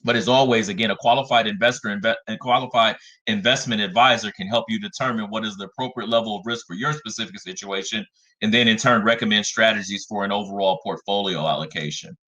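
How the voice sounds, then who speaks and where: A man in his forties, a financial advisor giving a webinar talk in a measured way, often starting with "again". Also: fairly low pitch, steady tone, sharp tone, poor audio. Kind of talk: webinar presentation.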